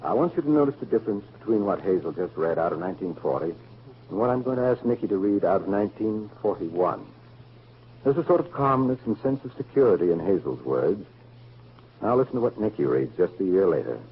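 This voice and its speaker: A man's voice: sits low, expressive, brisk. An actor playing a genial, self-assured family man in 1940s American radio drama. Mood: serious. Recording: muffled, noisy 1940s radio recording with hum.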